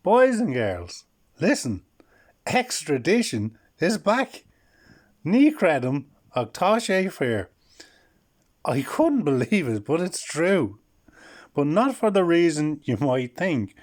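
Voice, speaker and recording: A man's voice: fairly high pitch, a little mellow. Joking man teaching words. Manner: dry, joking, laughs at his own lines. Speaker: channel host teaching Irish. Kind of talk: humorous language lesson vlog.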